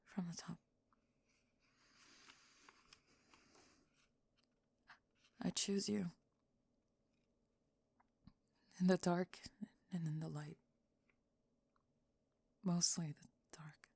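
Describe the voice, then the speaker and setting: A woman playing a young goth bully, defensive and reluctantly lovestruck, in an ASMR roleplay, speaking haltingly and dropping into whispers. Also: on the low side, fairly even intonation, mellow, no room sound, voice pushed down, heavily acted. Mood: solemn, tender. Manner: halting, breathy sighs, drops into whispers.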